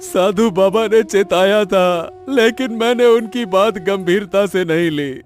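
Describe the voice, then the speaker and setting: A high-pitched, troubled elder brother from a village orchard, played by a male voice actor. He speaks dramatically and emphatically, as in a moral cartoon story, with faint music behind him. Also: a little slow. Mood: bitter, accusing.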